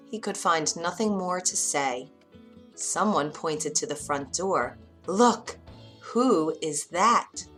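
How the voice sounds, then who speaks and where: A woman narrating a children's bedtime story in an expressive, dramatic way. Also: on the low side.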